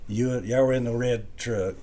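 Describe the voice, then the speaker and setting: A man's voice: medium pitch, fairly even intonation, normal speed, mellow. A middle-aged man with a Southern US accent, sharing memories in a plainspoken, folksy, casual chat.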